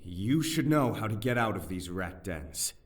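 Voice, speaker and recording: A man's voice: lowish voice, very animated, a little slow, distant mic. An actor playing a proud, indignant young nobleman in an audio drama, speaking in a formal, declamatory way. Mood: wary, bargaining.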